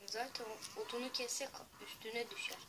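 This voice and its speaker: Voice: mid-range voice, steady tone, moderate pace, very noisy. A co-viewer making wry, skeptical remarks while watching a cartoon, recorded on a phone filming a screen. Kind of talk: casual reaction commentary. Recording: phone recording under loud cartoon music. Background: loud cartoon soundtrack.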